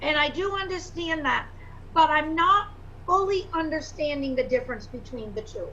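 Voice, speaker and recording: Bright high-voiced woman on a call. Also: expressive, normal speed, muffled recording, a little hiss or hum. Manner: earnest, thinks aloud with many fillers. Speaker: pastor and prospective student. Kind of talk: casual orientation call.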